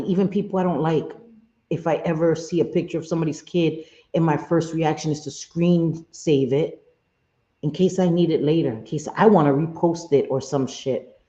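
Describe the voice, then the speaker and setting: A woman with a husky smoker's voice hosting a live stream, talking bluntly and earnestly, often repeating herself, with some salty language. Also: low voice, warm tone. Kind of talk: candid livestream chat, reading chat comments. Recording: muffled roomy home stream audio.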